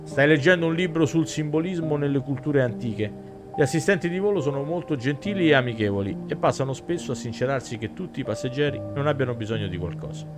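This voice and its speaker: Male game streamer, thirties, theatrical narrative reading, mid pitch, clear musical backing. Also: lively intonation, fast, music in the background. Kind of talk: dramatic reading aloud of a visual novel with asides to chat.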